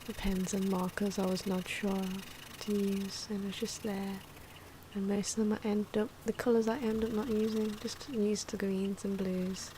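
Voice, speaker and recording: A soft-spoken, hesitant woman giving ASMR commentary on her own drawing, with pencil and marker noises. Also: mid-range voice, flat delivery, normal speed, a little hiss or hum, rough recording. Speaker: artist narrating own drawing video.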